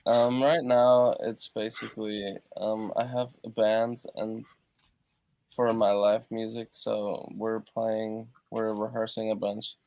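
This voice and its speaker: A man from Europe with a low, gravelly voice talks about his music on a video call. He speaks haltingly, circling back and filling gaps with "uh" and "like". The sound is dry and close, from his treated home studio. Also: flat delivery, darkish tone, no room sound, rough recording. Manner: halting, many fillers and restarts. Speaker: guest musician. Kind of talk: casual interview over video call.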